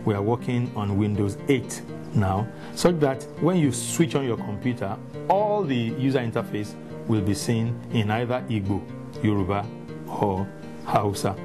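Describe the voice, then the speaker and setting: A man speaking briskly in a documentary interview, listing work done. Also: on the low side, animated. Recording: music bed under voice.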